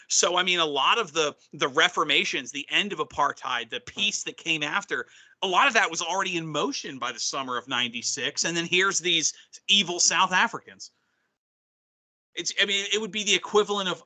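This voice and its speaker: Bright-voiced man, a bit hoarse. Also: a little high. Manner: rambling, restarts often, clears throat. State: losing his voice. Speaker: wrestling podcast co-host. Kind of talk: casual chat.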